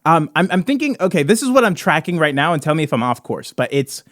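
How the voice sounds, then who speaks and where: A man hosting a podcast, brainstorming casually and excitably with his co-hosts, swearing now and then. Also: on the high side, fast, dry recording, clean studio sound. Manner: excitable, profane, frequent "dude" and "right?". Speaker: podcast host.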